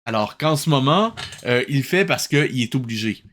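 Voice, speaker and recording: A man's voice: mid-range voice, animated, normal speed, fairly bright tone, slight background noise. A man with a Québécois accent hosting a reaction show, giving casual, incredulous and colloquial running commentary.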